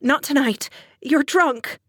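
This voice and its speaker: A narrator plays a frightened young woman, a wife who pleads shakily. Her voice jumps high and gasps for breath. It is audiobook dialogue in a very dry recording. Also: slow, dry recording. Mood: shaky, pleading.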